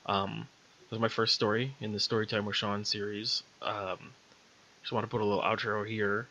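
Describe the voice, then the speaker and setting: A man's voice: lowish voice, level tone, moderate pace, sharp tone, a little hiss or hum. A man reading his own short story aloud as an amateur storyteller, on a slightly dull lo-fi recording. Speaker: storyteller, author.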